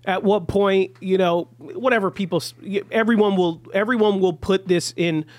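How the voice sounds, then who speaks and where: Man, podcast host, high voice, dry sound, hesitant, lots of likes. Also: moderate pace, dry recording. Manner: hesitant, filler-heavy questions. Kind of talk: casual podcast conversation.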